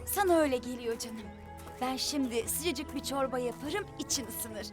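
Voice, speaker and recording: Woman as a high-voiced caring cartoon mother. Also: moderate pace, over music, close to an everyday voice. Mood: concerned, soothing. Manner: nurturing, soothing. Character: caring, practical village mother. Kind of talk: animated children's cartoon acting.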